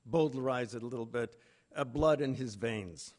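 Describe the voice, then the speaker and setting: A man giving a literary lecture in a deliberate, emphatic manner with pauses between phrases. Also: mid-range voice, a little mellow. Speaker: conference speaker, literary lecturer. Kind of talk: literary lecture with read-out quotations.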